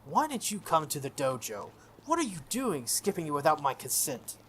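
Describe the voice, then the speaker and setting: Gamer doing a snotty bully schoolboy from a visual novel, contemptuous, pitch jumps around, bit of noise. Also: very animated, moderate pace, fairly bright tone. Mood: haughty, scolding. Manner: contemptuous, haughty, needling. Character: arrogant, bullying, sneering teenage schoolboy.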